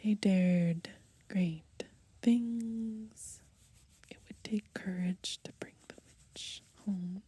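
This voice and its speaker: A woman whispering softly and slowly in a gentle ASMR style, pausing between short phrases as she reads and browses through a book. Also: medium pitch, slight background noise. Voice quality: breathy, mostly unvoiced whisper. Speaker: ASMR host. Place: outdoors, lying in grass in the countryside.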